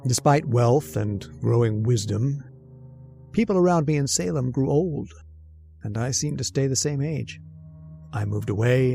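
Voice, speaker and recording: Man acting gravelly, uneasy henpecked husband. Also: mid-range voice, mellow. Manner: wistful storytelling, flustered stammering in dialogue. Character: nervous, henpecked, long-lived husband of a witch. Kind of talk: old-time radio horror narration and acting.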